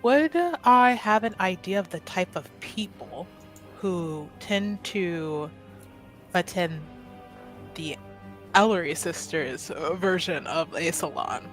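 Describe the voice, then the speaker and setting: A woman's voice: lowish voice, takes it slowly, soft background music. A woman chatting casually and playfully as a player in a tabletop roleplaying game.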